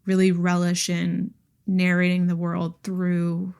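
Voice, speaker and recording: A woman's voice: lowish voice, completely flat delivery, slow, creaky, a little mellow, smooth. Chatty, enthusiastic woman podcast host. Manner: enthusiastic, frequent "like", laughs while talking. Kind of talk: casual book discussion.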